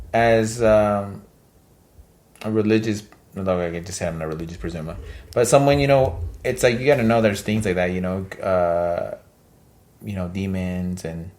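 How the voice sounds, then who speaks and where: A man's voice: on the low side, normal speed, darkish tone. A man co-hosting a podcast in casual chat, talking in a slangy, hesitant, rambling way. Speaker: podcast co-host.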